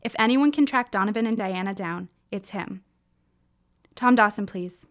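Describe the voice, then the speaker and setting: An actress plays a resolute schoolgirl in a period radio play. She has a medium-pitched female voice and speaks formally, with no-nonsense determination. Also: normal speed, lightly acted. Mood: determined. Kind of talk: period audio drama acting.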